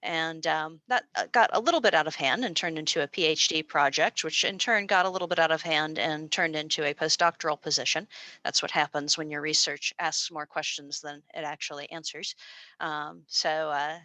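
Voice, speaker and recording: An American woman presents to an online audience in a low yet bright, forceful voice. She speaks in a chatty, informal way, stumbling over fillers and restarting her sentences. The sound is close and dry. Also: moderate pace, no echo. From United States. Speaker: presenter and postdoctoral researcher. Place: small room at a university. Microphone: video call.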